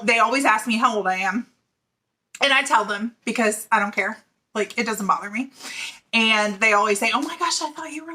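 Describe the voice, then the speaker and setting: A chatty woman in her forties talks straight to the camera about her knitting. Her voice is bright and fairly high, and she laughs easily between "um"s and "like"s as she goes. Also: slight background noise. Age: 40s. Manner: chatty and giggly, with many fillers. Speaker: knitting podcast host; middle school teacher. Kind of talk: casual chat to camera.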